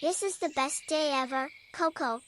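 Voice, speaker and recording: A performer voicing a gentle young forest animal in a bedtime story, with a childlike voice and simple, deliberate phrasing. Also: sits high, a little hiss or hum. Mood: delighted. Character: gentle young forest animal friends, slow snail and companion. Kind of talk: bedtime story character dialogue. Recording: nature ambience with birdsong behind. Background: birdsong and forest ambience.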